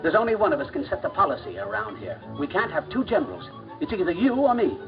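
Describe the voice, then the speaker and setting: A man's voice: high voice, huge pitch swings, fast talker, music in the background. A man playing a stern, irascible, domineering middle-aged father, clipped and commanding, in a 1940s educational film. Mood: domineering, irritated. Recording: muffled 1940s film soundtrack.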